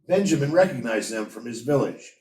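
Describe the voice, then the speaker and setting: A man reading a story aloud in a measured, expressive storytelling manner. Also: medium pitch. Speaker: story reader. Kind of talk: scripted storybook narration. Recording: roomy echo, distant from mic.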